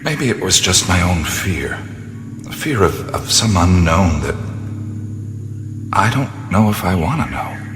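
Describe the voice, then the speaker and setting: A curious adventurer in a radio play, soft-spoken and hesitant as he muses. His voice sits very deep but moves a lot in pitch. Crickets and night insects are clearly heard behind him. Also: very animated, measured pace, pauses often, noisy background. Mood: earnest. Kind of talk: radio drama acting.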